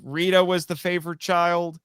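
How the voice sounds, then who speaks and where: Male podcast guest, writer type, crisp and dry-sounding, relaxed conversational storytelling. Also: high voice, moderate pace, dry recording. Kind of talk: casual interview chat.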